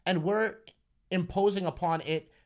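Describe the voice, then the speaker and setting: A man with a somewhat raised pitch talks through a casual podcast discussion. He is earnest and articulate. He piles clause on clause as he builds each point. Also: steady tone, measured pace. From Caribbean family background. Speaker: podcast co-host.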